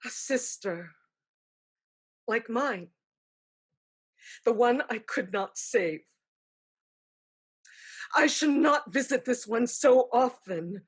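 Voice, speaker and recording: A woman acting a solemn ghostly aunt who gives measured, formal counsel in a staged play reading. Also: a little high, expressive, husky, full character voice. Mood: brooding, regretful. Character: ghostly aunt spirit, solemn, counselling, haunted by lost sister.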